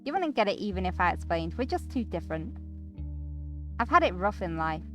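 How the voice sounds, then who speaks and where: A woman playing a gloomy, withdrawn man in a visual novel, with a flat, reluctant, grumbling manner. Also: lowish voice, fairly quick, soft background music. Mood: glum, guarded. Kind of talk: first-person visual novel acting.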